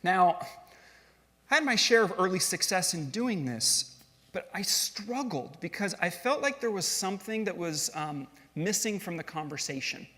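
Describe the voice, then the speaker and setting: High-voiced man in a reverberant hall. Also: lively intonation, moderate pace, pushed and bright. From grew up in Switzerland and California. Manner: conversational, self-deprecating, asks the audience questions. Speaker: speaker giving a talk. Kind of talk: storytelling stage talk. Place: large hall on stage.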